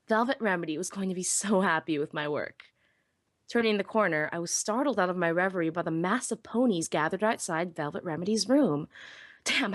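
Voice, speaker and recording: A woman playing a small, anxious young female pony who narrates her own story in an expressive, earnest way. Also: mid-range voice, no echo, studio quality, lightly acted. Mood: upbeat, then startled. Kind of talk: dramatic first-person story narration.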